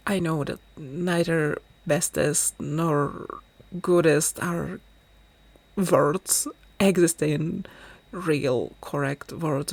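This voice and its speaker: Low-voiced Czech woman, slow and gravelly. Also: no room sound. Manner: chatty, rambling, self-deprecating. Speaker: gaming YouTuber. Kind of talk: casual voice-over commentary.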